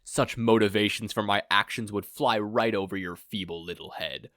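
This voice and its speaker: A man's voice: fairly low pitch, normal speed, a bit bright, dry recording, studio quality, close to an everyday voice. A man voicing a sinister, self-absorbed male supervillain who monologues in a pompous, theatrical way, in a story reading. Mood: condescending.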